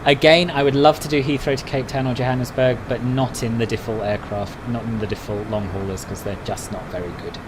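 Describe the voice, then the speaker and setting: A man's voice: medium pitch, fairly even intonation, fairly quick, hardly any pauses, background noise. A man chatting casually on a live stream, answering viewers' messages one by one. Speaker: flight sim streamer.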